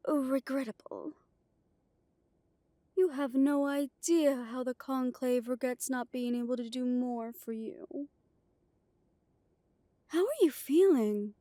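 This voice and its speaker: A poised vampire noblewoman, played by a woman in an audio drama. Her voice is high yet soft-toned and mellow, and she speaks slowly and silkily with courtly grace. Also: no room sound. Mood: regretful, solicitous. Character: poised, genteel female vampire of a ruling council. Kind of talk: dramatic audio roleplay acting.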